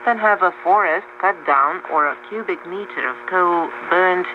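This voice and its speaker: A man's voice: sits high, moderate pace. A man hosting a radio show and reading listener letters in a scripted presenting style, heard over shortwave. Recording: noisy muffled shortwave radio reception. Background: heavy shortwave static.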